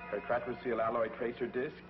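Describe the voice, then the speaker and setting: A man's voice: medium pitch, level tone, a little fast, lightly acted. A man playing a tracking operator. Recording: dull 1950s TV soundtrack with loud music.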